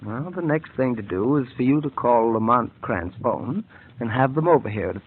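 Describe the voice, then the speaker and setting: A smooth, scheming villain doctor. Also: male voice, mid-range voice, lively intonation, normal speed. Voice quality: smooth, sinister, condescending. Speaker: radio drama actor. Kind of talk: 1940s radio acting. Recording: tinny old radio broadcast with faint hiss.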